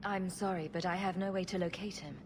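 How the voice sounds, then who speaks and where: An actress playing a confident, dry and sarcastic adventuring heroine in a fantasy game. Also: female voice, on the low side, steady tone, fairly quick, natural voice. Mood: questioning, direct. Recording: clear background noise.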